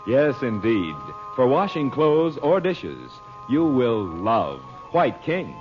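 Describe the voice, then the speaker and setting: A man's voice: mid-range voice, sing-song, takes it slowly. A man with an American accent, a vintage radio announcer reading scripted ads and serial narration. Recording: muffled old radio recording. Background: loud-ish music.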